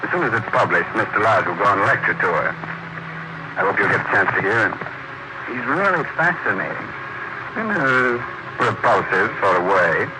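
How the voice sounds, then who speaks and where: A low-voiced actor as a ghoulish male horror host on an old, noisy 1940s radio recording. He is sardonic and mock-polite, relishing his macabre jokes with dry humour. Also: lively intonation, normal speed, close to an everyday voice. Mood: gleefully macabre. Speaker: host of a mystery radio series.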